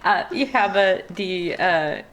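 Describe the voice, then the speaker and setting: A woman with a foreign accent chatting casually in a language that is not her own, pausing to search for words. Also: medium pitch, sing-song, vocal fry, fairly bright tone. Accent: non-native, foreign-accented German. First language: not German; speaks it as a foreign language. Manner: halting, pauses to search for words. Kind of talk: casual language-practice chat.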